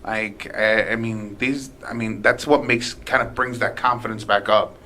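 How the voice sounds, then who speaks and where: Bright-voiced rambling guy in his late twenties. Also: fairly low pitch, steady tone, unhurried, a little hiss or hum. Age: late 20s. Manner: rambling, profane, many restarts. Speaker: podcast guest, aspiring comedian. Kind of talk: casual storytelling chat.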